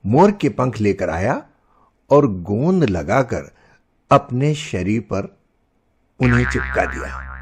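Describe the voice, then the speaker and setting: A man narrating a scripted children's story as storyteller, expressive and didactic. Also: fairly low pitch, husky.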